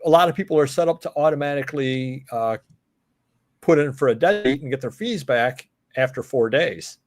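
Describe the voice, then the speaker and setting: Chatty host with an upstate New York accent. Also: male voice, medium pitch, animated, normal speed, slight background noise. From Cleveland, Ohio. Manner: chatty, jokey, rambling with fillers. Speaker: host of a reselling show. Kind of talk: casual live-stream chat.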